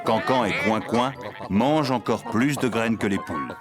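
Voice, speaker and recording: A man's voice: on the low side, normal speed, natural voice. A man narrating a French children's cartoon in a playful storytelling manner. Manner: lively storytelling. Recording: loud music behind the narration.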